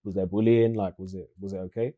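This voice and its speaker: A man's voice: deep voice, steady tone, fast talker, warm tone, lo-fi sound. A man hosting an interview, chatty and enthusiastic with loose phrasing. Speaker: host.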